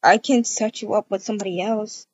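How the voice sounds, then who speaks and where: A woman's voice: medium pitch, steady tone, brisk, darkish tone, breathy edge, highs cut off. A woman speaking casually as she improvises a game roleplay and chats to viewers. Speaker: video creator acting out a roleplay.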